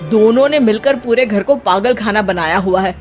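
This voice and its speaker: Female performer as young married son, family cartoon: weary scolding, teasing edge, soft music underneath. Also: medium pitch, moderate pace, lightly acted. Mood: exasperated.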